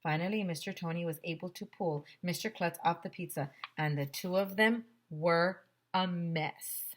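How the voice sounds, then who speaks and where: A woman teacher reading a story aloud to children with expressive, emphatic narration. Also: fairly low pitch, normal speed.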